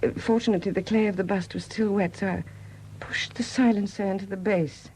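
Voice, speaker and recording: An actress in a 1970s British radio drama playing a widowed murderess who confesses in a hesitant, confiding manner. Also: female voice, mid-range voice, fairly even intonation, a little fast, a little mellow, slight background noise, lightly acted, poor audio. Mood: weary confession. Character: quiet confessing murderess, a Welsh sculptor's widow.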